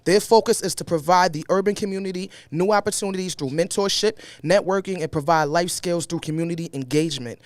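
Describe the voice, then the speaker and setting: A man with a Brooklyn accent co-hosting a casual talk show, animated and playful, reading a guest bio aloud. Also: high-pitched, fairly quick, few pauses, dry recording. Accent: Brooklyn, New York. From New York. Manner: animated, playful, laughs often. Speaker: co-host.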